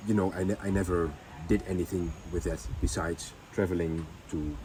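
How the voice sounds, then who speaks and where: Man with a deep voice, unpolished vlog chat, light noise behind him. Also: steady tone, mellow, poor audio. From Netherlands. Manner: halting, many ums and restarts. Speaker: vlogger. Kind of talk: casual storytelling chat.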